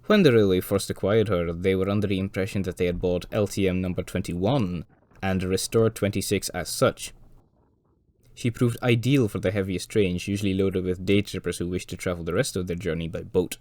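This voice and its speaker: Hoarse male narrator, deep-pitched, for a dry-witted documentary voice-over. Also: steady tone, brisk, darkish tone, no room sound. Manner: dry, wry asides. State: hoarse from a cold. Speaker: railway video presenter.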